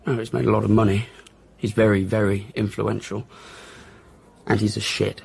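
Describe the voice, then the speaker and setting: A jaded male journalist in a radio drama, with a low, gravelly and husky voice. He is blunt and grudging, tossing off answers offhandedly. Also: level tone, normal speed, heavily acted. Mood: cynical, offhand. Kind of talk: naturalistic radio drama acting.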